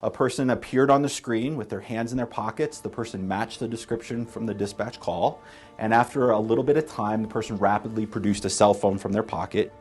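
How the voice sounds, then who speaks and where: A man's voice: lowish voice, steady tone, brisk, a little mellow, faint music behind. A man, a researcher and former police officer, explaining things in an interview.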